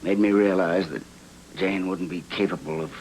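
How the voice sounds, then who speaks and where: An actor playing a gruff, self-made wealthy client in a film noir, blunt and commanding. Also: male voice, deep voice, steady tone, moderate pace, a little mellow, a little hiss or hum, low-quality recording. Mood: earnest, reflective. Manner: blunt, plain-spoken, commanding. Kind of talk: film noir screen acting.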